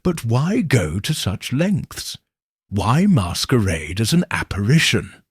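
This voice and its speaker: A man's voice: mid-range voice, very animated, slow pace, husky, no room sound, studio quality, heavily acted. A man voicing an earnest, puzzled companion to a Victorian detective in an audiobook, curious and questioning.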